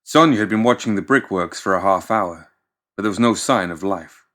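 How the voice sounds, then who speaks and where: A man reading scripted fiction as an audiobook narrator, in a measured, dramatic storytelling manner. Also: lowish voice, a bit bright, faint music behind.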